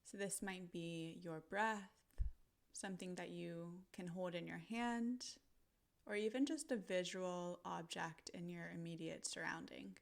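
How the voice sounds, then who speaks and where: A woman narrating a guided visualization in a gentle, soothing manner, pausing deliberately between short phrases. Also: fairly low pitch, fairly even intonation, creaky.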